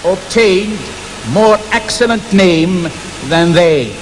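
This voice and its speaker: A man whose first language is Arabic reads scripture aloud at a public debate, slowly and deliberately. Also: sits high, over a noisy crowd. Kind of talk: public religious speech, reading scripture. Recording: hissy archival hall recording.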